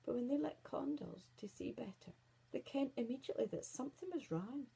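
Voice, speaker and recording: Husky Scottish woman storyteller, very expressive. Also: high voice, brisk, rough recording. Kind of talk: expressive children's story reading in Scots.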